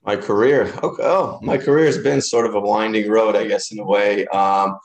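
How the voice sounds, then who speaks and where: A man's voice: lowish voice, fairly even intonation, normal speed. A man in his thirties, originally from Israel, talking casually as an interview guest with many fillers and restarts. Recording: roomy echoey room.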